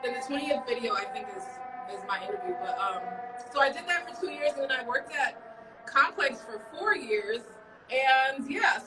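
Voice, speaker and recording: A woman in her thirties from Chicago, a journalist chatting in a relaxed, rambling way and laughing often during an interview. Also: sits high, normal speed, recorded from a distance, heavy background noise. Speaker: journalist guest. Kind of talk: casual interview chat. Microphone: livestream from a distance.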